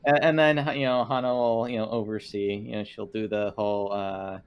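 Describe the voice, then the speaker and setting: Man, slightly deep voice, tabletop player, casual chat, halting and trailing. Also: fairly even intonation, clear, resonant tone, lo-fi sound.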